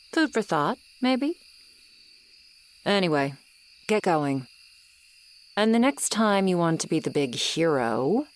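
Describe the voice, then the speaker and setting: Woman playing a slow, low-voiced tsundere monster girl. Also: a little hiss or hum, heavily acted. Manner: slow, sardonic, haughty but softening. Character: tsundere swamp monster girl, ageless, grudgingly kind, aloof. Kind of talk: ASMR roleplay acting, addressed to the listener. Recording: night swamp ambience with insects behind. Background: crickets and insect ambience.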